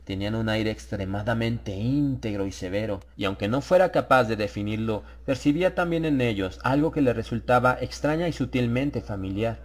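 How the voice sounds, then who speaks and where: A man reads a scripted horror story as a dramatized audiobook. His voice sits at a middle pitch, and he tells the tale in a measured, literary way. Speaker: audiobook narrator.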